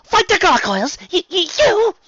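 A man's voice: very high-pitched, lively intonation, normal speed, a bit bright, breathy edge, dry recording, muffled recording, pitched above the performer's own voice, full character voice. A man voicing a prissy, overprotective female unicorn in a storybook reading, melodramatic and easily distressed. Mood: aghast. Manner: melodramatic, stammering when upset.

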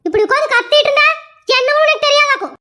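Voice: extremely high pitch, rapid-fire, pushed and bright. A performer voicing a short-tempered middle-aged mother who scolds and complains. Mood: exasperated. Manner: scolding, exasperated.

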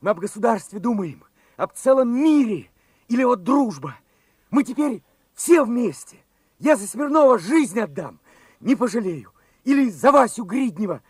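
An earnest young revolutionary soldier speaks in a high, boyish register. He is fervent and idealistic, heard dry and without room sound. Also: big pitch swings, moderate pace, slightly rough, dry recording, heavily acted. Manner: fervent, open-hearted. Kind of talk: Soviet radio play acting.

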